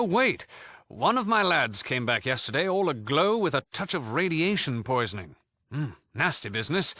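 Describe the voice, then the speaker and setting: An actor playing a suave, sardonic British leader of a thieves' guild in a video game, with a flippant, haughty and theatrical way of talking. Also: male voice, mid-range voice, pushed and bright, dry recording. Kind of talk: video game character dialogue.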